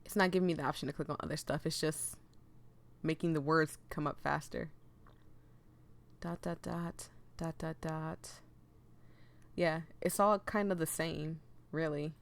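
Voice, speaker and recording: A woman's voice: low voice, fairly even intonation, unhurried, vocal fry, pauses often. A woman hosting a casual let's play, reading game text aloud and chatting apologetically to viewers as she breaks off her own sentences. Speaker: gaming video host.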